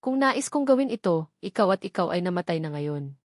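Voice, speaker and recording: A woman's voice: fairly low pitch, very animated, brisk, no room sound, studio quality. Cold, taunting martial arts master read by a woman. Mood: cold threat. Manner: taunting, threatening.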